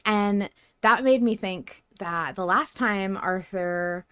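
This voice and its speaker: A woman's voice: mid-range voice, slow, fairly bright tone, clear, resonant tone, dry recording. A woman co-hosting a podcast in a casual, emphatic fan discussion, swearing freely. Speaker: podcast co-host.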